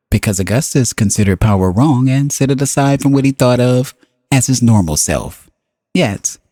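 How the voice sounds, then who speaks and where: A man reading a book aloud as scripted narration, with measured, deliberate phrasing and pauses. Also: lowish voice, warm tone, breathy edge, no room sound, studio quality. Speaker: audiobook reader.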